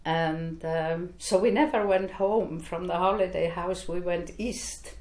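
Slow-speaking older woman recalling her childhood. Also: on the low side, darkish tone. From Poland. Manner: slow, reflective recollection with fillers. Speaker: interviewee. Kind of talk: oral history interview. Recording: echoey room.

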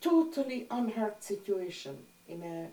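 An older woman whose first language is Hungarian, calmly recalling her childhood in an oral history interview. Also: mid-range voice, a little slow. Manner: reflective, plain-spoken storytelling. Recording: roomy sound from a distant mic.

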